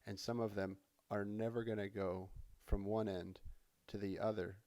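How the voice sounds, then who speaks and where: A man narrating a software tutorial in a casual, conversational way, explaining things step by step as an instructor. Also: lowish voice, little pitch movement, moderate pace. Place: voice-over for a screen recording.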